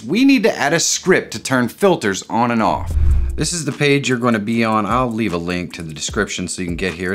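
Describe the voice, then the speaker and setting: A man hosting an informal, step-by-step software tutorial. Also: medium pitch. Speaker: tutorial host. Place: small home studio room.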